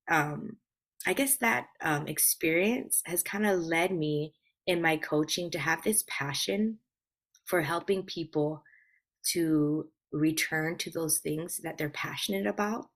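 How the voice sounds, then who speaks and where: Low-pitched woman, earnest and reflective. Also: takes it slowly, a bit bright. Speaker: guest life coach. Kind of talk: casual interview chat, personal storytelling.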